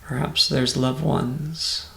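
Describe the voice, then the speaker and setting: A man softly and calmly guiding a meditation in an ASMR style, in measured phrases that sometimes drop to near-whisper. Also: mid-range voice, echoey room, low-quality recording. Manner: soft-spoken, breathy near-whisper at times, calm and soothing. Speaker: meditation guide.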